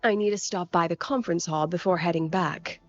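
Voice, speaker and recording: An actress playing a polite, reserved, overworked academy president in a video game. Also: female voice, on the low side, a little fast, close to an everyday voice. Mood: weary, friendly. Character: composed, courteous, overworked female academy president. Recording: dull lo-fi game audio, faint music.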